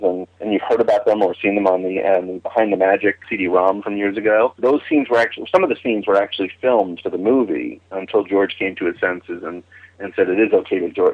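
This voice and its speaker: A man explains things informally as a commentator, circling back and rephrasing as he goes. His voice is on the low side, and the recording is muffled and lo-fi. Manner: rambling, repeats and rephrases himself. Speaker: commentator on radio drama history. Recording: dull, lo-fi voice recording.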